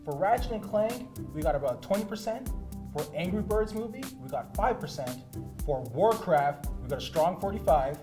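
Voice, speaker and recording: A man's voice: high voice, lively intonation, moderate pace. Man hosting a panel chat over music. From Canada. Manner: conversational, steering the discussion with questions. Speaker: talk show host. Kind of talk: casual panel discussion about pop culture. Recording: music playing behind the voice. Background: background music.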